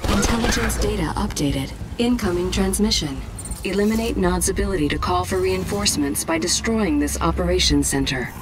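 A woman's voice: fairly low pitch, brisk, lightly acted. A woman voicing an efficient battlefield announcer in a war video game, with clipped, formal, impersonal delivery. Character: cool, efficient military battlefield computer announcer. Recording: loud music over game audio.

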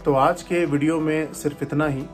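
A man in his thirties vlogging about a road trip, talking to a phone held at arm's length and pausing often with "uh". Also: fairly high pitch, fairly even intonation, faint music behind. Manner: chatty, with frequent "uh" pauses. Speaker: travel vlogger. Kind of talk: casual vlog narration to camera. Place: hotel room, indoors.

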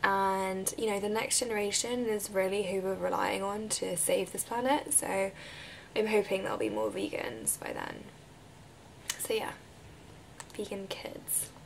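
A woman in her twenties with a croaky voice from a recent illness, chatting casually as she answers viewer questions to camera in her bedroom. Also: mid-range voice, unhurried, a little hiss or hum, rough recording. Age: 20s. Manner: chatty, relaxed, drifts into breathy whispers. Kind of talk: casual Q&A vlog. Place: bedroom, indoors. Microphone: camera mic at close range.

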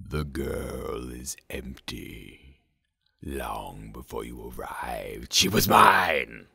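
A man voicing a sinister, possessive demon in a theatrical film-villain style, slow, drawn-out and menacing. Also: extremely low pitch, animated, vocal fry, bright, forceful tone, husky, soft background music, voice pushed down, exaggerated voice. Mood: possessive fury.

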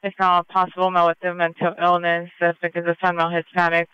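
A woman's voice: fairly low pitch, monotone, fast talker, clear, resonant tone, no echo, dull audio. A woman working as a police radio dispatcher, speaking in clipped, procedural phrases over a scanner. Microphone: radio dispatch channel.

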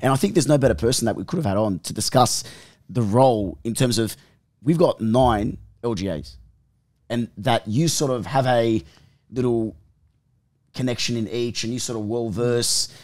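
A man's voice: mid-range voice, moderate pace, a little mellow, dry recording. Man hosting, dry close sound. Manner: eager, keeps prompting the others. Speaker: podcast host. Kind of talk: casual podcast chat.

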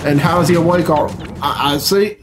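A man's voice: a little high, brisk, background noise. A middle-aged man giving casual, unscripted reaction commentary in a blunt, sweary, bemused way, recorded at a computer in a room at home. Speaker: reaction video host. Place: small room at home, indoors. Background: game cutscene music.

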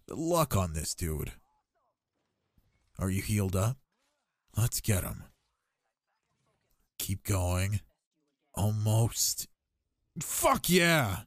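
A man acts out a young gamer boyfriend in an ASMR roleplay, cocky yet affectionate. His voice is low and he takes his time, with slangy, teasing phrasing. The sound is close and dry. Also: dry recording, exaggerated voice.

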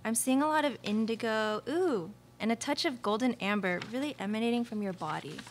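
A woman's voice: fairly high pitch, fairly quick, a little hiss or hum, lightly acted. A young woman playing a cheerful New Age hippie in a radio comedy, breezy and gushing, peppering her talk with filler slang. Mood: dreamy, cheerful. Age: young adult. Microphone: stage microphone on stand.

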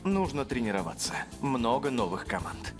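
An actor playing a concerned, practical robot-team member in a cartoon dub, measured and serious. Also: male voice, medium pitch, very animated, natural voice. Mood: thoughtful. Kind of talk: animated cartoon dub acting. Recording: music behind the voice.

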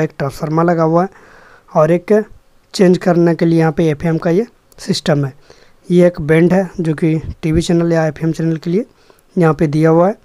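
A man's voice: a little high, darkish tone, dry recording. Young man, dry sound. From Jharkhand, India. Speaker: electronics repair vlogger. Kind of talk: casual hands-on demonstration narration.

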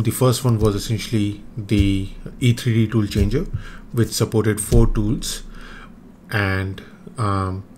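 A low-pitched man, a native Hindi speaker, walks through his own hobby hardware design over a screen recording. He speaks slowly, pausing often with ums and self-corrections. A faint background hiss sits under the voice. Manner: hesitant, many ums and restarts. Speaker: maker explaining his own 3D printer design. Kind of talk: screen-recorded technical walkthrough.

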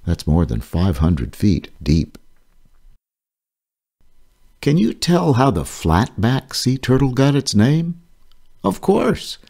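A man with a husky voice reading a children's nonfiction book aloud in a measured, explanatory way. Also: medium pitch, wildly swooping pitch, mellow.

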